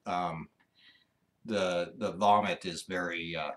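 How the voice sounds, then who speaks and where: A man in his forties co-hosting a casual ranch livestream from a living room couch, talking in an easygoing, halting way with lots of fillers. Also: low-pitched, fairly even intonation, a bit bright. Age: 40s. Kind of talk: casual live chat.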